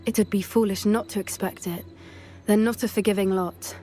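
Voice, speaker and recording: A woman's voice: mid-range voice, steady tone, normal speed, mellow, faint music behind, close to an everyday voice. An actress playing an earnest, plucky teenage schoolgirl witch in a video game, speaking articulately with a British accent. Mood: worried, thoughtful. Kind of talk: video game cutscene acting.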